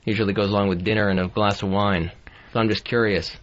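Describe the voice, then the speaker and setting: A man's voice: on the low side, fairly even intonation, fast talker. A man co-hosting a talk show with dry, wry remarks in casual chat. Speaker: co-host. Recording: dull lo-fi audio.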